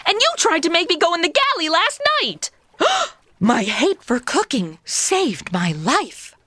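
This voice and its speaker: A woman's voice: extremely high pitch, sing-song, a little slow, sharp tone, no room sound, heavily acted. An actress playing a self-absorbed modern young woman in a comedy audio drama, sarcastic and petulant. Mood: alarmed. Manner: sarcastic, petulant, valley-girl phrasing.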